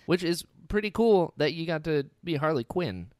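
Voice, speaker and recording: A man hosting a podcast, enthusiastic and animated, often acting out little quoted exchanges. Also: on the high side, moderate pace, no room sound, clean studio sound. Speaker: podcast host. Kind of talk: casual interview chat.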